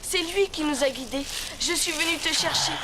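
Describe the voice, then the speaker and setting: A woman's voice: high voice, moderate pace, close to an everyday voice. A woman voicing a brave, earnest young boy in a cartoon. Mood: determined. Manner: earnest, youthful. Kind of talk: animated series acting. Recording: loud music underneath.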